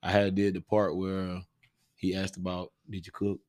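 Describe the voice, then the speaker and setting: Low-pitched American man telling a casual story. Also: monotone, moderate pace, a little mellow. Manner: slangy, often says "you know what I'm saying". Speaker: stage actor discussing his part.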